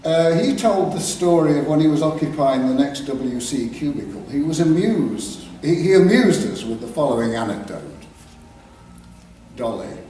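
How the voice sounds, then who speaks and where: An older man with an English East Midlands accent, telling a story live with careful diction and dramatic pauses. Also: on the high side, animated, warm tone. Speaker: author reading his own work. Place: live reading in a theatre clubroom. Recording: very echoey hall, distant mic, background noise.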